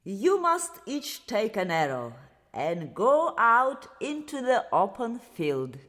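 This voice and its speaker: Female narrator as solemn old fairy-tale Tsar, Russian accent, emphatic and unhurried, reverberant sound. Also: a little high, huge pitch swings, a bit bright, pitched above the performer's own voice, exaggerated voice. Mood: solemn command. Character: solemn fatherly old Tsar instructing his sons.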